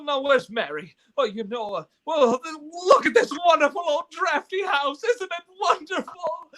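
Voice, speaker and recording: A man's voice: extremely high pitch, fairly bright tone, full character voice. A man playing an emotional, stammering family man in a live radio play, speaking with breathless urgency. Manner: stammering, impassioned, breathless pleading. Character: frantic, despairing then overjoyed family man.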